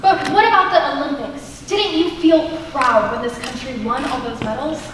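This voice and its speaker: High-voiced earnest patriot, a young woman acting. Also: normal speed, close to an everyday voice. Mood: challenging. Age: young adult. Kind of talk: high school stage play acting. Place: large school auditorium stage. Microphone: far camera. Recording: distant, noisy, echoey auditorium.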